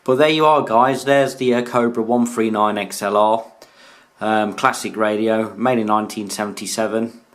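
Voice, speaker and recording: A British man casually presenting a hobby video in a hesitant, halting way. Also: lowish voice, fairly even intonation. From United Kingdom. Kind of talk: casual product showcase narration. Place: indoors in a room.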